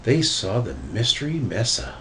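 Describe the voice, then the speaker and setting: A man's voice: mid-range voice, big pitch swings, normal speed, a bit bright, slight background noise. A man narrating a children's picture book as a storybook reader. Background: music.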